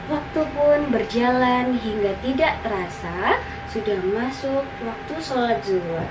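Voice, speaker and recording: A woman narrating a scripted story for children in an expressive storytelling style. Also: fairly high pitch, measured pace. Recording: roomy, distant, noisy recording.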